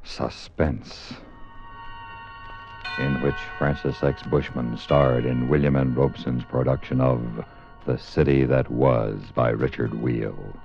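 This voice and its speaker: A man's voice: rumbling low, steady tone, slow, dull audio, loud music behind the voice. A man announcing in scripted 1950s radio style. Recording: band-limited 1950s radio recording.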